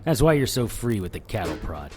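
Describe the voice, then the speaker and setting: An actor playing a sardonic, defiant noir detective in an audio drama, needling others and narrating in the first person. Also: male voice, on the low side, big pitch swings, fairly quick, faint music behind. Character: wisecracking, defiant male noir detective hero.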